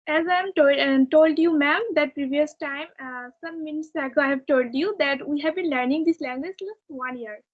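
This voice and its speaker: High young girl practising English. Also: moderate pace, few pauses. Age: child, about twelve. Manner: formal rehearsed learner phrasing. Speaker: young English learner with a video channel. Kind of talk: earnest conversation practice. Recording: dull, narrow phone-like call.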